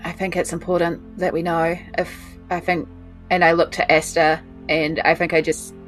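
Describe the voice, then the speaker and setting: A woman's voice: lowish voice, level tone, normal speed, soft background music. A woman with a New Zealand accent playing a tabletop role-playing game, chatting casually partly in character.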